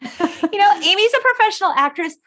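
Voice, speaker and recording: A woman's voice: extremely high pitch, expressive, fairly quick, sharp tone. A woman hosting a podcast, recapping a film conversationally and acting out bits of dialogue as she retells it. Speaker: podcast host.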